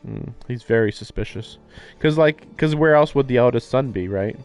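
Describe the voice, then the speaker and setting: A man with a fairly ordinary, middle-pitched voice talks casually as a live streamer playing a story game. He muses aloud in halting fragments, working through his thoughts as he goes. Music plays clearly behind his voice. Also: a little mellow. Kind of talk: casual live commentary on a visual novel playthrough.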